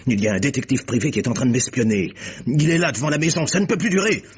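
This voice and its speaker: An actor playing an anxious, indignant doctor, husky and insistent, in a French radio crime drama. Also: male voice, mid-range voice, fast talker, slight background noise, lightly acted. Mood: worried. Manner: agitated, insistent, formal. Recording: dull, lo-fi old radio recording.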